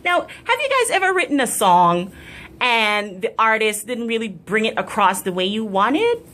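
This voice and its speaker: A woman's voice: a little high, very animated, moderate pace, fairly bright tone. Upbeat woman radio host. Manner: friendly, encouraging, slang-flavored questions. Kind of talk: radio interview hosting. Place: radio studio.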